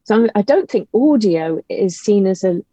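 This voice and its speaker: A woman's voice: mid-range voice, animated, normal speed, dark tone, breathy edge. A woman speaking as an interview guest, giving her opinions emphatically in a conversational way. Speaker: podcast guest, audio drama writer and actor. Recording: dull, lo-fi, roomy call audio.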